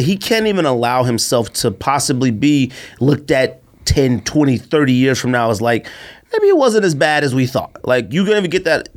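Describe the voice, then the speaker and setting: American guy podcast host, super lively pitch, blunt slangy opinions, casual chat. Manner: acts out imagined quotes.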